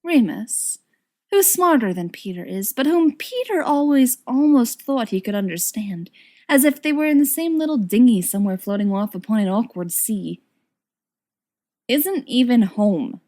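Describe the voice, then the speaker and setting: A woman reading a story aloud as an expressive scripted narration. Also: on the high side, moderate pace. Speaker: audiobook reader of fan fiction.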